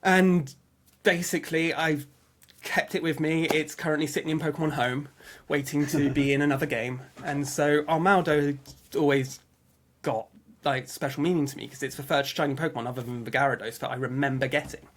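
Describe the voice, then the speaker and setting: A man's voice: fairly high pitch, fairly even intonation, fairly quick, a little hiss or hum. A man telling personal anecdotes in a casual interview, chatty, often acting out his own remarks and restarting sentences. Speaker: interview guest, fan website webmaster.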